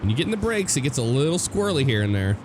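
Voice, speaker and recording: A young American man with a cold-roughened, hoarse voice, rambling casually as he comments on a driving game. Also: mid-range voice, rapid-fire. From United States. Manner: rambling, relaxed, many fillers and restarts. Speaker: gameplay commentator. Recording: game car sounds loud behind voice. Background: car engine and road noise from the game.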